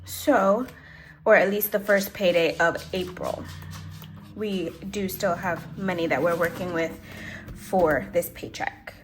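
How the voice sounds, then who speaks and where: A woman's voice: lowish voice, fairly even intonation, slow. A congested, sniffly woman hosting a budgeting vlog, chatting casually and correcting herself as she thinks aloud. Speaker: budgeting channel host. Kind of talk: casual cash-stuffing walkthrough. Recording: echoey room, faint noise.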